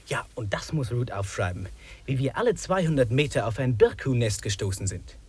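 An actor playing an eager wilderness hiker with an animated manner in a 1950s German radio drama. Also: male voice, medium pitch, a little fast, husky. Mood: enthusiastic.

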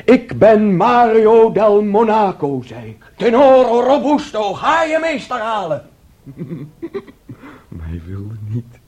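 Excitable young son, radio drama, theatrical, husky, animated. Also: male voice, high voice, slow pace, heavily acted. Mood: excited, dreamy. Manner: theatrical, eager storytelling. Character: excitable, dreamy, boastful young son of the family. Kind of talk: Dutch-language radio play acting.

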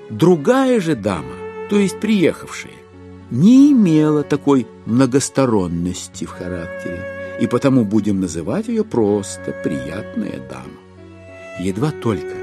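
A male narrator with a mid-pitched, highly animated voice delivers scripted literary prose. His manner is wry and theatrical, and faint music sits behind him. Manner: expressive, wry.

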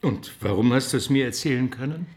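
An actor playing a cultured, cautious Byzantine gentleman in a radio drama, measured and thoughtful. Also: medium pitch, lively intonation, close to an everyday voice. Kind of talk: radio drama acting and narration.

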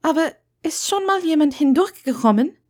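A woman's voice: sits high, lively intonation, normal speed, darkish tone, pitched above the performer's own voice. A woman voicing a young witch in an audiobook, curious and puzzled, with a hesitant, stammering way of speaking. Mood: curious, flustered. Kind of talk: audiobook character dialogue.

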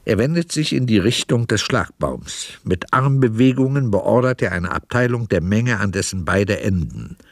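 Male narrator for radio drama: lowish voice, measured descriptive read, very dry close sound. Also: animated, no echo.

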